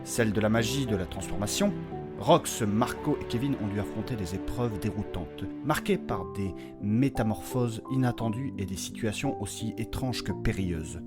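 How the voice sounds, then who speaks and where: A man's voice: fairly low pitch, normal speed, music in the background, natural voice. A man playing a solemn, dramatic narrator who recaps a fantasy quest in a scripted style.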